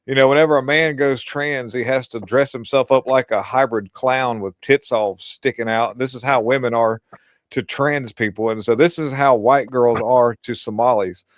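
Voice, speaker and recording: A man's voice: mid-range voice, fairly even intonation, moderate pace, no room sound. A man hosting a casual political livestream, talking bluntly and crudely with lots of emphasis. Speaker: livestream host.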